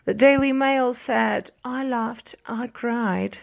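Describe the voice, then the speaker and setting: A woman's voice: a little high, slow pace, vocal fry. A woman whose native language is Portuguese, hosting a podcast and reading book recommendations aloud in a hesitant way, with fillers and restarts. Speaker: podcast host. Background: soft music.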